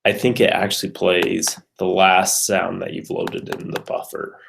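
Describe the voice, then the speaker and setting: A man's voice: deep voice, flat delivery, a little slow, vocal fry, fairly bright tone, a little hiss or hum. A man casually walking through code on screen like a programming student, thinking aloud in a hesitant, unsure way.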